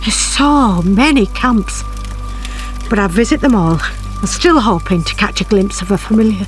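A woman's voice: medium pitch, normal speed, faint music behind, natural voice. An actress playing a middle-aged mother, anxious and grieving for her missing son, in an earnest audio drama style. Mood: weary, hopeful. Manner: emotional, earnest. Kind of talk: audio drama acting with narrated monologue.

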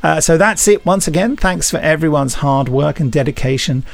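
A man's voice: on the high side, moderate pace, vocal fry. A middle-aged man hosting a podcast, chatting casually and reading listener emails in a jokey, rambling, self-deprecating way. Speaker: podcast host.

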